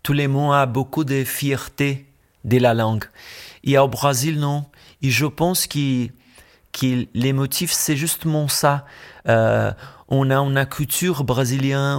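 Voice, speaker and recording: A Brazilian man speaking French with a Brazilian accent, a musician guest in a radio studio interview, pausing often to search for words. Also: medium pitch, steady tone. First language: Brazilian Portuguese.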